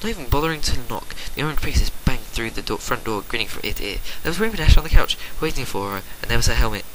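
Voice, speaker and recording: A man reading fan fiction as a dramatic narration, theatrical and swinging between hushed and forceful, on an amateur home recording. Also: mid-range voice, expressive, fast, a little hiss or hum, rough recording. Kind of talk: dramatic storybook-style narration.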